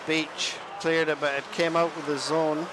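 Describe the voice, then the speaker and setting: A man giving live play-by-play commentary on an ice hockey game, chatty and self-deprecating, sometimes pausing to find his words. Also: fairly high pitch, little pitch movement, background noise. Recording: music clearly audible behind the voice. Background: music.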